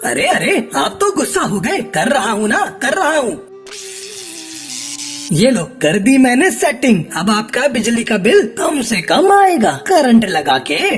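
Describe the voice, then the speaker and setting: A crooked cartoon crow repairman, voiced by a woman, with a high voice that swings up and down in pitch. He is wheedling and easygoing, cajoling his customer. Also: very animated, measured pace, faint music behind. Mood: placating. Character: wheedling male crow electrician, casually crooked.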